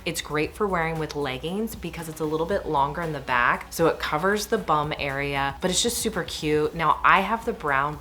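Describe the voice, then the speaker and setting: A woman in her thirties with a low, hoarse voice as she gets over laryngitis. She chats upbeat through a roundup of sale picks, with faint music playing under her. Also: fairly bright tone. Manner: upbeat, chatty, recommending products. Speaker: shopping deals vlogger.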